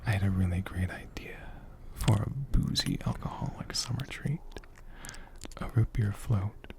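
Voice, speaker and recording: Guy doing soft whispery asmr, gentle n slow, bit of gravelly fry in it. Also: lowish voice. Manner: gentle, hushed, unhurried. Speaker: ASMR video host.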